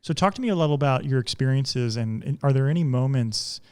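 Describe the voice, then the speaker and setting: A man hosting an interview, asking easygoing, halting questions with frequent fillers. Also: medium pitch, expressive, darkish tone, clear, resonant tone. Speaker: podcast host. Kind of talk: casual interview conversation.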